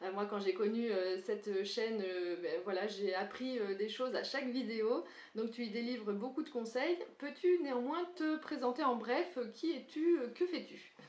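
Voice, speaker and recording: A woman's voice: a little high, normal speed, few pauses, a little mellow, echoey room, highs cut off. A woman hosting a podcast interview, reading a polished welcome and then asking her guest questions politely, with some hesitations. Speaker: podcast host, sales trainer.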